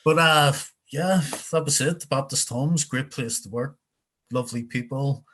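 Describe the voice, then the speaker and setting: A man's voice: mid-range voice, normal speed, fairly bright tone. Belfast man, forties, easygoing guest recounting his past over a video call. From Northern Ireland. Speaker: interview guest.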